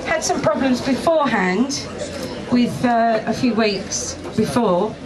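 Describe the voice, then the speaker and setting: A woman with a loud voice hosting a live event, joking and brisk with the audience. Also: fairly high pitch, expressive, poor audio. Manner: jokey, brisk. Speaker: event host. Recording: live event with crowd noise.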